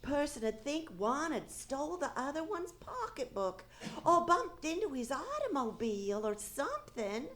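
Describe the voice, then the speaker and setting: A woman's voice: high voice, sing-song, moderate pace, hardly any pauses, fairly bright tone. A woman playing a fussy, nagging small-town housewife and mother, folksy and exasperated, in an old-time radio comedy. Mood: gossipy, curious. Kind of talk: 1930s radio comedy acting on stage.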